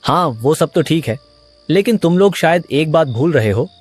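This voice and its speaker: A man's voice: a little high, lively intonation, normal speed, faint music behind. A man voicing an earnest, conversational villager in a cartoon. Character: loyal male villager defending an uncle's tales. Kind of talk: Hindi cartoon dialogue.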